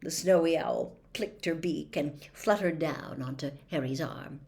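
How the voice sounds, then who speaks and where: A woman reading a story aloud as expressive audiobook narration, with measured, dramatic phrasing. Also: low-pitched. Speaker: storybook reader.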